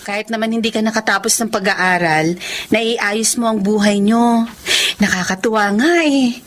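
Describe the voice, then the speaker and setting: A woman's voice: medium pitch, moderate pace, lightly acted. A woman playing a chatty, friendly young schoolteacher in a Filipino radio drama. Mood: chatty, admiring.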